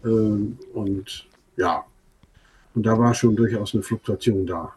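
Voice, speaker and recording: Older man, husky, mellow, hesitant speech. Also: on the low side, fairly even intonation, takes it slowly. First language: Low German. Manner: hesitant, many fillers and restarts. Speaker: band leader and songwriter. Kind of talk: radio interview answers.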